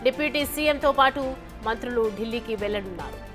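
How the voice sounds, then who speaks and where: A woman news anchor delivers live news over a music bed. Her voice sits fairly high and she speaks at a quick clip.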